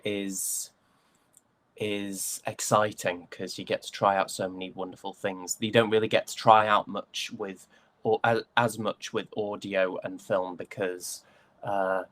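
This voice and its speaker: A young man with a Northern English accent chatting casually as an interview guest, often pausing to find his words. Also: on the low side, little pitch movement, fairly bright tone. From West Yorkshire, England.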